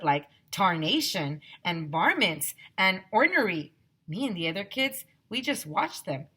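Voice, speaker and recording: Female teacher for children's story time, animated and expressive, taking her time, with a bright forceful voice. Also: lowish voice, breathy edge. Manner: expressive, addresses listening children directly. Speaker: teacher reading aloud to children.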